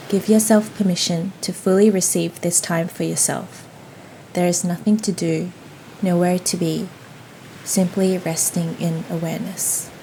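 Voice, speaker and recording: A woman leading a guided yoga nidra meditation in a soothing, gentle, unhurried manner. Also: fairly low pitch, low-quality recording. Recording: faint steady ocean noise behind. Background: ocean waves ambience.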